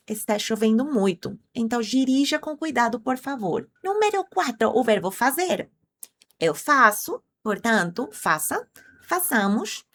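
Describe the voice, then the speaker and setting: Lively woman teaching a language lesson. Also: fairly high pitch. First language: Spanish. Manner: clear, patient explaining with drilled conjugations; asks the viewers questions directly. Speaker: Portuguese teacher.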